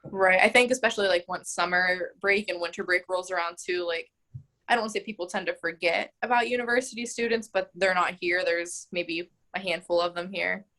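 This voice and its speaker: A young woman in her twenties with a lowish voice, talking casually in an interview. She fills her answers with "like" and "um" and laughs easily, sounding open and chatty. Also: steady tone, fairly quick, bright, forceful tone. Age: 20s. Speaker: mayoral candidate.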